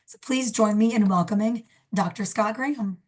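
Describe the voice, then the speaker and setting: A young woman with a cold and a slightly raspy voice, hosting a webinar and reading announcements on a video call. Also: mid-range voice, normal speed, a little mellow. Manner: friendly, scripted announcements. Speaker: program coordinator, webinar host. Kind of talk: webinar introduction. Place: indoor room, video call.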